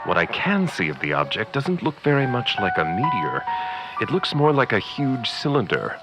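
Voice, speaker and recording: An actor playing an earnest, flustered radio reporter on the scene in a comedic 1930s-style radio drama. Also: male voice, mid-range voice, huge pitch swings, normal speed, poor audio. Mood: awed, breathless. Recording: music behind the voice.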